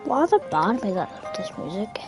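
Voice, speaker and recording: Woman gamer, very animated pitch, casual rambling commentary, muttered whispery asides, game music in the background. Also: normal speed. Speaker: gamer narrating her own gameplay. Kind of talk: casual gameplay chat. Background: video game music.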